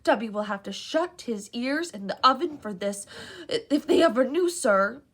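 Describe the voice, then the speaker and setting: A woman voicing a small, servile male house elf with a squeaky, high-pitched voice and an earnest, tearful, overly formal manner. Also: lively intonation, normal speed.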